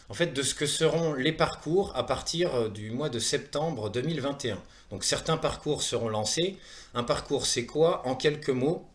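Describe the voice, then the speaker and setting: Man, thirties, French accent from France, medium pitch, earnest, halting delivery with repeated words, presentation style. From France, Saumur in Maine-et-Loire. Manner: earnest, hesitant, with frequent pauses and word repetitions. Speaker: presenter of a wellness training programme. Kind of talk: semi-prepared online presentation.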